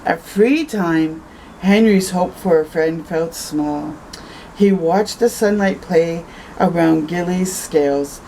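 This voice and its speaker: Female storytime reader: unhurried, mellow, expressive children's read-aloud with chatty asides, some background noise. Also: lowish voice, poor audio. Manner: conversational asides, tags questions with "eh". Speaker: storytime host reading aloud.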